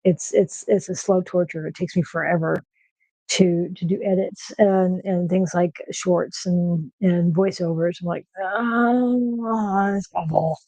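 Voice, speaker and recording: A woman with a soft nasal voice chatting casually on a livestream from her kitchen, with lots of fillers and restarts. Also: on the low side, fairly even intonation, slow, no room sound, poor audio. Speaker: streamer host. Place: home kitchen.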